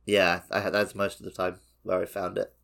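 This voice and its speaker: A man with a very low, creaky voice talks in a loose, conversational way, like a podcast co-host. His delivery is informal, and the low register often settles into vocal fry. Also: completely flat delivery, brisk, fairly bright tone. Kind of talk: casual two-man video game discussion.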